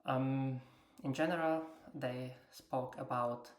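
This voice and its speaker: A woman speaking English, presenting investment slides unscripted in a halting, hesitant way with many filled pauses. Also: very deep voice, fairly even intonation. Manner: non-native English with grammatical slips. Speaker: investor presenting earnings takeaways. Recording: echoey room sound.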